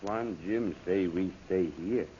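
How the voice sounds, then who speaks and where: An actor playing a loyal, terse native servant who speaks broken pidgin English in an old-time radio adventure serial. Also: male voice, sits low, slow pace, warm tone, slightly rough, full character voice. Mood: earnest, stubborn. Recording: muffled, noisy old radio recording.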